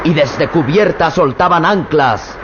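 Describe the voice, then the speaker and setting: A man with a Mexican accent tells the story of a radio serial in a measured, dramatic way, his voice slightly high. The old recording sounds muffled with steady noise behind him. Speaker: narrator. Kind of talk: scripted radio drama narration.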